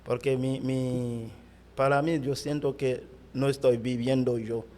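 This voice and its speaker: A middle-aged Haitian man, a pastor whose first language is Haitian Creole, speaking Spanish haltingly with a Haitian accent in an interview. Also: mid-range voice, little pitch movement, a little mellow. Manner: halting, searching for words in Spanish. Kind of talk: interview answers.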